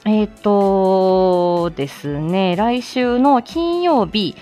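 A woman's voice: mid-range voice, lively intonation, very slow, warm tone, soft background music. A woman hosting a casual live chat with announcements, talking in a polite, flustered, giggly and self-deprecating way. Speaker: livestream host.

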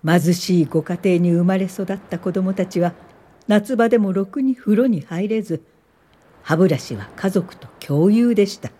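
Actress doing an older lady telling her life story, soft mellow voice, gentle n measured, radio drama. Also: medium pitch, close to an everyday voice. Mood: reflective. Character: older woman social worker recalling her life, gentle. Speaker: narrator and lead character. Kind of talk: radio drama first-person narration.

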